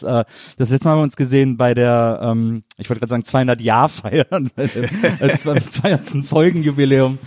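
A man's voice: medium pitch, a little mellow, dry recording, studio quality. A man hosting a casual interview podcast, with a wordy, circling way of phrasing things. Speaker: podcast host. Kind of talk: casual interview, chatty introduction.

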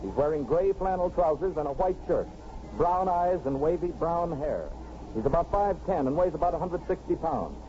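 Actor as urgent old-time bulletin announcer, noisy recording. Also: male voice, on the high side, animated, moderate pace, mellow, close to an everyday voice. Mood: urgent, measured. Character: urgent, formal radio announcer reading a bulletin. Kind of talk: 1950s radio bulletin, acted. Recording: noisy, muffled old radio broadcast.

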